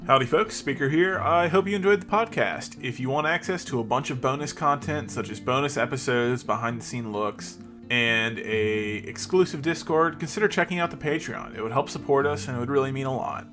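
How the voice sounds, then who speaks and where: A man's voice: medium pitch, brisk, over music. A man speaking as a podcast host, giving an informal, upbeat promo to his listeners.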